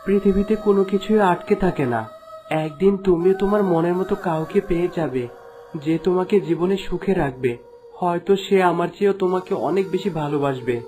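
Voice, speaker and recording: A man's voice: high-pitched, brisk, mellow, close to an everyday voice. An earnest folk-tale hero, lovelorn and remorseful, acted by a man. His delivery is melodramatic cartoon dialogue over background music. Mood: anguished, resigned.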